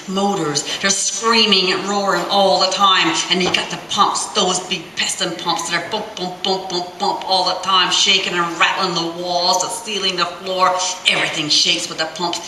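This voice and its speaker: A woman acting out a rough, teasing oil-rig man from a novel reading. The voice is forceful and sharp, with salty, folksy emphasis. It sounds roomy and set back from the mic. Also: on the low side. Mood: boisterous explaining. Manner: salty, folksy, emphatic storytelling with dialect grammar. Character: Ben, brash teasing older brother, rough oil-rig hand. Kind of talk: dramatic literary reading. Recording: roomy, distant festival recording with faint noise.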